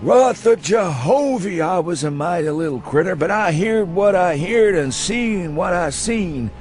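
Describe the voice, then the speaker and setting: A man's voice: high-pitched, animated, measured pace, darkish tone, faint music behind. A man voicing a drunken, hysterical old man with a rural New England accent and rustic, goading speech in a dramatised story reading. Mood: brooding, mocking. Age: elderly. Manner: rustic dialect, goading questions ending in "huh?". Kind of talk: dramatised audiobook reading for radio.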